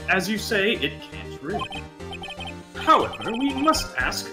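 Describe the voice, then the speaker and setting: A woman voicing a smug, condescending prosecutor in a courtroom game, formal, superior and deliberate. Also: medium pitch, sing-song, over music.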